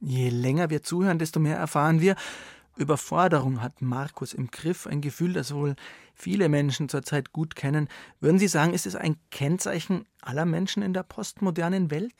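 A man's voice: on the high side, moderate pace, vocal fry, no echo, studio quality. A man hosting a radio interview in a conversational moderating style.

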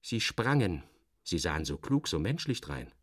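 A man giving a dramatic first-person literary narration as in a radio play, theatrical and sardonic, with expressive shifts of emphasis. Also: low-pitched, normal speed, breathy edge, dry recording, studio quality.

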